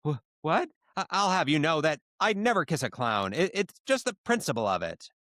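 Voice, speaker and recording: A man's voice: on the high side, big pitch swings, normal speed, a bit bright, no echo, studio quality, pitched above the performer's own voice. Man putting on a flustered, stammering mascot guy. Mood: flustered, indignant. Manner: stammering, indignant. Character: flustered fast-food mascot man in embarrassed denial.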